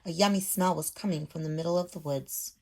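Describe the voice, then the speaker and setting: A woman's voice: low voice, fairly even intonation, brisk, darkish tone. A woman in her thirties speaking as a teacher, explaining step by step and reading a storybook aloud to children at home. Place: small room at home.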